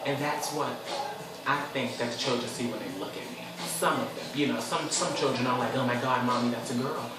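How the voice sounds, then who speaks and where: A chatty man in his twenties hosts his own show and talks things through at length, now and then breaking into sudden high squeals. His voice sits at an ordinary male pitch. He is recorded a little away from the mic in a very echoey room. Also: a little fast, far from the mic. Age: 20s. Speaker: host. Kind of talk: casual solo talk show monologue.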